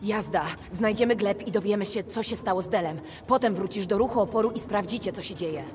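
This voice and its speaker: A woman's voice: medium pitch, brisk, noisy background, close to an everyday voice. A woman playing a grim, resolute veteran soldier and mother in a video game, speaking tersely and seriously. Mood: urgent, determined. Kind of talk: dramatic video game acting.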